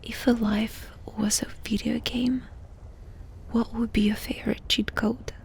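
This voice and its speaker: A woman doing a soft-spoken ASMR roleplay, asking questions in an intimate, unhurried whisper. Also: a little high, little pitch movement, gravelly, a little hiss or hum.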